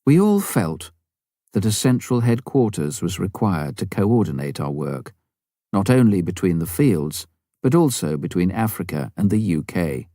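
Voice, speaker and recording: A man narrating a memoir from a script as an audiobook, in measured, clearly phrased storytelling. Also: low-pitched, very animated, warm tone, no room sound, clean studio sound.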